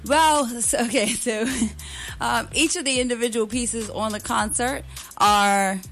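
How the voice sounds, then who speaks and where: A woman's voice: fairly high pitch, measured pace, a bit bright, soft background music. A woman from Bermuda, a dance artist, talking earnestly about her show in a radio studio interview, with frequent filler words. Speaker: guest dancer and choreographer.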